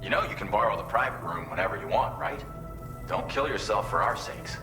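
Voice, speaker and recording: An actor playing a friendly, considerate colleague with a casual, familiar manner in a video game cutscene. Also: male voice, lowish voice, animated, a little fast, lightly acted. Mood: concerned. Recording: echoey room under loud music.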